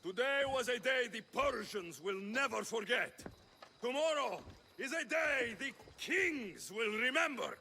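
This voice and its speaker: Bright, forceful mercenary man. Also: very high voice, lively intonation, a little slow, a little hiss or hum, exaggerated voice. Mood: declaiming on stage. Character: bold, wry young male Greek mercenary. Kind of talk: video game cutscene acting.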